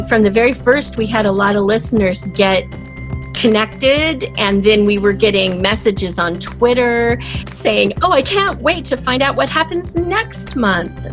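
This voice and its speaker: A middle-aged woman with a fairly high voice tells anecdotes as an interview guest. Her delivery is chatty and casual, and music is clearly audible behind her. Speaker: podcast guest, audio drama writer.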